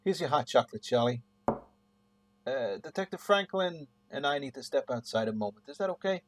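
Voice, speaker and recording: A man's voice: medium pitch, animated, moderate pace, a little hiss or hum, lightly acted. A man playing a polite, hesitant police officer in a radio play.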